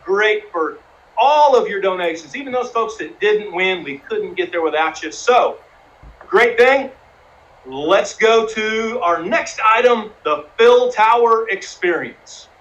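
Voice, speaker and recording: A man calling a live charity auction, chanting bids in a fast rhythm and coaxing bidders to raise again. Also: high voice, expressive, a bit bright, muffled recording, slight background noise. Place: live streamed fundraising event.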